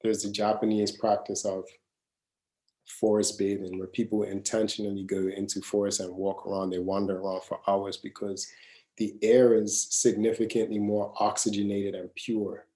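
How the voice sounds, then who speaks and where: A man in his mid-thirties leads a live wellness class in a casual, earnest way, often saying a phrase twice for weight. His voice sits fairly low with a dark, mellow tone. The room around him rings with echo. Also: level tone, normal speed. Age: 30s. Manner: earnest, casual, repeats phrases to stress them. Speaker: wellness coach. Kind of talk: live masterclass talk. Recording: echoey room.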